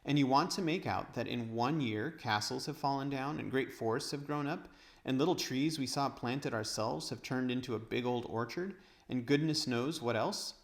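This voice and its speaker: A man's voice: medium pitch, moderate pace, lightly acted. A man voicing an argumentative, skeptical schoolboy in a storybook. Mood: incredulous.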